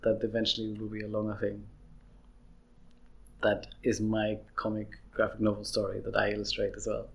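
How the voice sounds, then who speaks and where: A man's voice: fairly low pitch, completely flat delivery, moderate pace, gravelly. A young man, an illustrator, chatting in an interview, rambling with frequent restarts. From Austria. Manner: rambling, restarts often, laughs easily. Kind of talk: casual interview chat.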